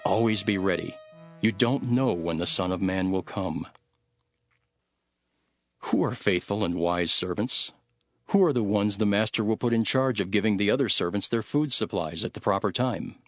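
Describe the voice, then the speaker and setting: A man reading scripture as a solemn, dramatic narration, measured and earnest, with deliberate phrasing. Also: lowish voice, expressive. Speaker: Bible narrator. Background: background music.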